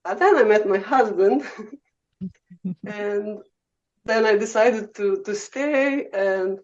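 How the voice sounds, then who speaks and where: A woman with a Serbian accent speaks at a middle pitch in a loose, chatty way, filling pauses with "um" and "uh." She sounds like a guest sharing her own story. The audio is slightly muffled and lo-fi. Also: darkish tone. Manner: chatty, frequent "um" and "uh", laughs easily. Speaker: guest oboe professor. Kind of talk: casual interview storytelling.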